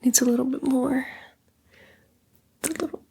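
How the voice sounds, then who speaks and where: A woman playing a coaxing, condescending female demon in a breathy, whispered ASMR roleplay. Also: sits high, little pitch movement, a little slow, mellow, slight background noise. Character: female demon, a fallen angel, coaxing and vain tempter.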